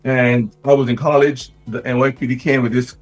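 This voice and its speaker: A middle-aged man from Barbados with a gravelly, husky voice, talking conversationally as an interview guest. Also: medium pitch, level tone, moderate pace, lo-fi sound. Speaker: interview guest, retired police detective. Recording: music playing behind the voice.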